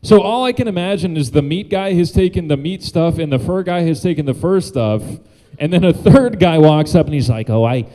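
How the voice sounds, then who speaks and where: A man's voice: fairly high pitch, lively intonation, brisk, few pauses, mellow, slight background noise, low-quality recording. A man doing a loose, rambling stand-up set on a club stage, laughing at his own jokes. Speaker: stand-up comedian and guest host.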